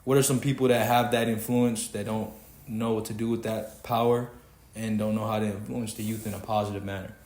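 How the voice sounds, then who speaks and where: A man's voice: fairly low pitch, level tone, brisk, a little mellow. Preachy young Texan man in an echoey room. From Houston, Texas. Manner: preachy, emphatic, swearing freely. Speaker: podcast host. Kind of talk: casual podcast rant.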